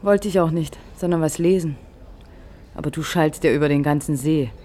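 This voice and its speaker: Low-pitched, teasing young woman, played by an actress. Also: normal speed, warm tone, lightly acted. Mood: prickly, wistful. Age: young adult. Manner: teasing, dry, probing. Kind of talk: German radio play dialogue.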